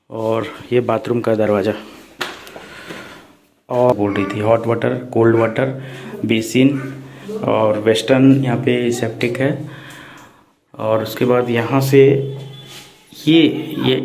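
A man's voice: mid-range voice, darkish tone. A man casually narrating his own travel vlog, filmed on a handheld phone camera, with frequent hesitation sounds. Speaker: travel vlogger. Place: hotel room and roadside at night. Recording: phone recording with background noise.

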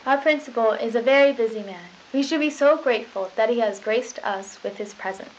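A young woman co-anchors a school news desk, reading earnestly in a fairly high voice. The sound is muffled and lo-fi, with a faint hiss behind her. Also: fairly quick. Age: young adult. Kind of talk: scripted school news broadcast. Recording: lo-fi hissy old tape.

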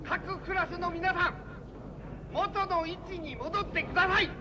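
Formal, sharp-voiced school principal. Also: male voice, extremely high pitch, a little slow, a little hiss or hum, exaggerated voice. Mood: formal, measured. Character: formal school principal addressing pupils at assembly. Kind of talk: formal speech in radio drama.

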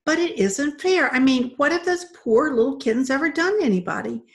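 Woman voicing an earnest, pleading young girl, high-pitched. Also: lively intonation, fairly quick. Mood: indignant, pleading. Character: pleading, tearful young girl defending kittens.

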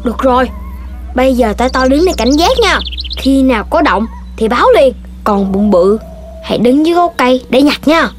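A woman's voice: high-pitched, expressive, moderate pace. A woman voicing a mischievous, bossy young puppy in a children's cartoon, eager and childlike, with a Southern Vietnamese accent. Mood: bossy, confident. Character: Đốm, plucky mischievous young puppy kid, bossy little leader. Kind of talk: children's cartoon dub acting. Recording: music behind the voice.

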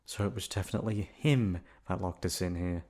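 A young man playing a gruff but affectionate, protective delinquent student in an intimate ASMR roleplay, talking in a casual, foul-mouthed way. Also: low-pitched, brisk, darkish tone. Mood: wary, puzzled.

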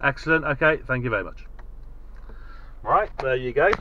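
British man vlogging over loud car noise. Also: medium pitch, normal speed. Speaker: vlogger testing two-way radios. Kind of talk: casual vlog commentary while driving. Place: inside a car. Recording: dull sound, loud car and road noise. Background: car engine and road noise.

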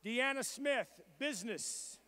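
A man with a strikingly high voice calls out graduates one by one at a college commencement. His reading is measured and formal, a steady roll call of names and programs, each pronounced with care. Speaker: commencement name reader.

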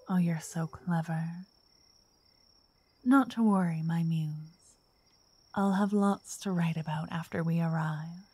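A woman playing a slow, soft-spoken doting vampire writer. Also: on the low side. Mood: teasing, affectionate. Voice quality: soft, breathy, often whispered. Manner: teasing, dreamy, old-fashioned wording. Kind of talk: soft ASMR roleplay. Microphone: close, dry microphone.

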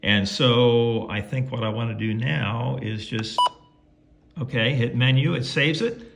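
A man's voice: mid-range voice, a little slow. A man giving a casual how-to walkthrough in a folksy way, talking himself through each step. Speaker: presenter of how-to video. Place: indoors at home, beside a tabletop radio. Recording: clearly noisy home recording with clicks.

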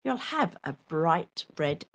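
A woman reading a children's picture book aloud with expressive, theatrical delivery. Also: sits low, slow. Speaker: storyteller.

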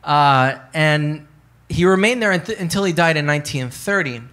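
A man's voice: on the high side, animated, normal speed, a bit bright. A man in his thirties giving a conversational academic talk on a panel, speaking into a handheld microphone in a hotel conference room, with many fillers and hedges.

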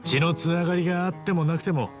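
An actor playing an earnest, loyal middle-aged ex-yakuza in a video game, speaking casually and sincerely. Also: male voice, on the high side, quick pace, over music, natural voice. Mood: quiet, resolute. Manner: casual, rough but sincere speech. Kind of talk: dramatic video game voice acting.

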